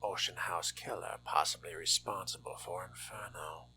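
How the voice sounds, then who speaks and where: A man's voice: low-pitched, fairly even intonation, normal speed, bright, forceful tone, a little hiss or hum, exaggerated voice. A man playing a refined, sardonic vampire in a video game roleplay, speaking formally and theatrically in dry, often murmured asides. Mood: hushed, brooding. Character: refined, sardonic male fledgling vampire exploring a haunted hotel.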